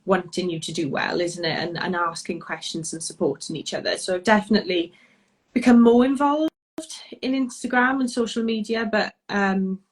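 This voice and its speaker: A woman's voice: mid-range voice, steady tone, normal speed, low-quality recording. Welsh woman guest, easygoing and chatty, lots of ums and laughter, heard over a video call. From Wales. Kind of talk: casual chat.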